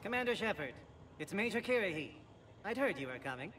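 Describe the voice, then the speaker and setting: A man playing a brisk amphibian alien military officer in a video game, speaking in a clipped, formal, dry way. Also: high voice, sing-song, bright, forceful tone, exaggerated voice. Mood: pleased, formal. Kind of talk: video game cutscene acting. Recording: faint background noise in game capture.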